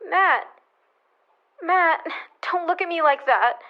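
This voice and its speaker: Woman playing high-voiced desperate frontier widow. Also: lo-fi sound. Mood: desperate pleading. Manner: halting, pleading. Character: desperate, pleading frontier widow, bitter and frightened. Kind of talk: old-time radio western drama acting.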